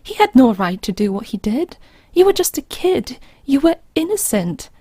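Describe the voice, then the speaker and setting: Woman playing a soft, breathy protective friend. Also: high voice, big pitch swings, moderate pace, no echo, studio quality, heavily acted. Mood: indignant, protective. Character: protective, loyal female friend, steady and consoling. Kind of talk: audio drama acting.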